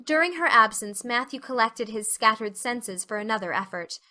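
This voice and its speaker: A woman's voice: fairly high pitch, animated, moderate pace, pushed and bright. A woman narrating an audiobook story as a scripted dramatic reading.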